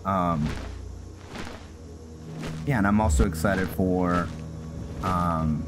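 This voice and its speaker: A man giving casual game commentary as he plays, thinking aloud in a halting way. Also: low voice. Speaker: gaming video host. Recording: loud game music behind the voice. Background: loud video game music.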